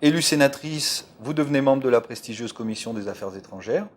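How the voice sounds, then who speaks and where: A man interviewing a guest, asking formal, measured questions. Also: mid-range voice.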